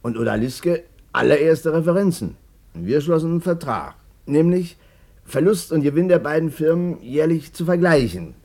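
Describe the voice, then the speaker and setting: Talkative Berlin man-about-town in a 1950s German radio drama. The voice is mellow and slightly rough, moving up and down freely. He narrates colloquially, cocky and forever justifying himself. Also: fairly high pitch, moderate pace. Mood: explaining eagerly. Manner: colloquial, animated, self-justifying. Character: chatty, cocky Berlin businessman telling his amorous past.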